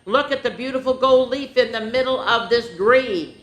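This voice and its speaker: A woman voicing a loud, showy blue jay who calls out to the whole forest. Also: on the high side, moderate pace, voice pushed up, lightly acted. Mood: admiring. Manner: loud, announcing. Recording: roomy echo.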